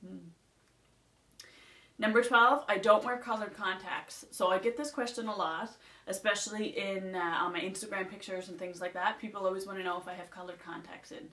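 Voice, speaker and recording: A young woman with a Nova Scotian accent chats and rambles through a list, sitting down to talk in a casual home vlog. Her voice sits at a middling pitch. The sound is roomy and a little distant, as if caught by a camera across the room. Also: steady tone, moderate pace, sharp tone. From Nova Scotia, Canada. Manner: rambling, chatty, reading off a list. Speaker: beauty vlogger. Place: indoors at home, seated.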